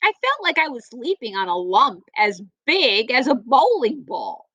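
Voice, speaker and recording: A polite young princess from a children's storybook, read by a woman. She speaks carefully and a little hesitantly in a fairly high voice. The recording is close and dry. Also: very animated, a little slow, sharp tone, dry recording, full character voice.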